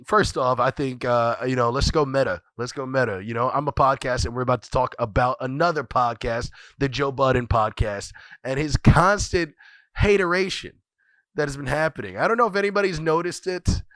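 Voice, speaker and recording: A man's voice: mid-range voice, moderate pace, fairly bright tone, no room sound. A man hosting his own podcast, talking casually with lots of swearing and repetition, his voice congested and scratchy from a cold. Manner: rambling, repeats phrases, swears freely. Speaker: podcast host. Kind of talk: casual profane solo commentary.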